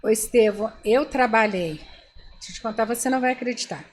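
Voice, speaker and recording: A woman's voice: fairly high pitch, brisk, a little hiss or hum. An older woman from Brazil, a guest in an interview, telling stories from her past and speaking directly to the people she is talking with. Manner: animated, addresses listeners directly. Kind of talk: storytelling interview.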